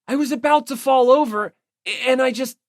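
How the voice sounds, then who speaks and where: A man voicing a timid, apologetic high school boy in an audiobook, hesitant and stammering. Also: a little fast, no echo, studio quality. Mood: panicked. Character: timid, apologetic, easily flustered male high school student. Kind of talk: audiobook character voice.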